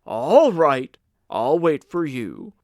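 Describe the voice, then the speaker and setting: A man reading an audiobook as a stout, kindly gentleman who is eager to please, coaxing and jovial. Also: fairly high pitch, very animated, slow pace, no room sound, clean studio sound. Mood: genial, reassuring. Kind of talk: audiobook character dialogue.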